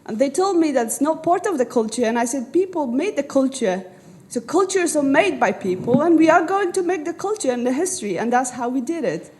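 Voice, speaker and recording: Earnest Afghan-born woman panelist, slightly high. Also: very animated, darkish tone, a little hiss or hum. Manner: earnest, halting with restarts. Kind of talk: panel discussion storytelling. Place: conference venue with audience.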